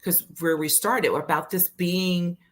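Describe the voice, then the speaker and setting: A woman's voice: on the low side, steady tone, unhurried, slight background noise. A woman co-hosting a casual livestream chat, talking in an informal, chatty way. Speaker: co-host and interviewer.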